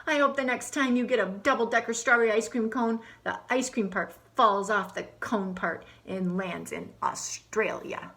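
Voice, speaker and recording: A woman's voice: on the high side, normal speed, few pauses, fairly bright tone. A woman reading the lines of a grumpy young boy from a picture book, complaining and emphatic. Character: grumpy young boy having a bad day. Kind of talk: picture book read aloud.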